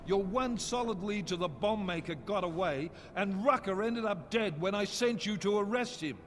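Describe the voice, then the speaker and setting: A man's voice: sits high, noisy background, natural voice. A man playing an exasperated task-force commander in a video game, with a blunt manner. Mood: angry, accusing. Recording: music behind the voice.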